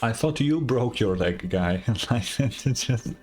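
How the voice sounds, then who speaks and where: Very low-voiced casual woman streamer. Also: animated, slow pace, music in the background. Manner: slangy, sweary, wry. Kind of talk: casual reaction commentary.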